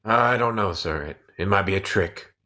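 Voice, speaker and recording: A man's voice: sits low, normal speed, pushed and bright. A man playing a sceptical, deferential commander with clipped replies in a radio play reading. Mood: suspicious. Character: sceptical, deferential commander, cold and suspicious subordinate officer. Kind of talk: radio play cold read.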